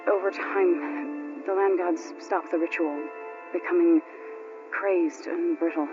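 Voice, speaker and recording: An actress playing a solemn, devout tribeswoman in a video game, speaking in a measured, earnest and formal storytelling way. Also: fairly low pitch, fairly even intonation. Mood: solemn, grieving. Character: solemn, devout tribeswoman who reveres machine gods. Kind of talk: dramatic video game acting. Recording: dull, compressed game audio. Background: prominent background music.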